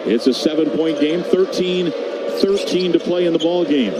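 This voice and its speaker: A man's voice: on the high side, a little slow. A man calling live basketball play-by-play on the radio, over arena noise. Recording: noisy broadcast with arena ambience.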